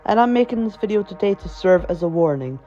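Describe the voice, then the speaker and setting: Higher-pitched man narrating, dull lo-fi sound. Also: animated, fast talker, mellow. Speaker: video narrator. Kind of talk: scripted horror-story narration. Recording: dull, lo-fi voice track. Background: background music.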